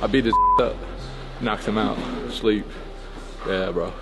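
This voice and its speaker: A man's voice: fairly low pitch, takes it slowly. A man from Iraq speaking bluntly in a documentary interview, in a boxing gym. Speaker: fighter.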